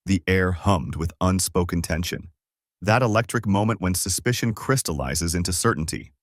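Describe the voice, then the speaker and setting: A man with a fairly low voice reads a dramatic story in a very dry, close recording. His delivery is solemn and builds suspense, like a scripted narrator telling a tense tale. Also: expressive, moderate pace, no room sound.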